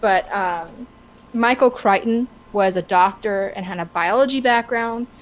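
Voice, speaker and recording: A woman co-hosting a podcast in casual, opinionated discussion, halting and stammering as she searches for words. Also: medium pitch, low-quality recording. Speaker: podcast co-host. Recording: clear background noise.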